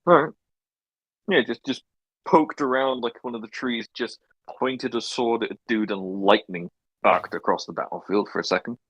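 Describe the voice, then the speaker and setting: A man's voice: mid-range voice, normal speed, a bit bright, slightly rough, dry recording, lo-fi sound. A man from Wales playing a tabletop game, talking hesitantly with many restarts. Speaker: player. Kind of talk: tabletop game play over voice chat.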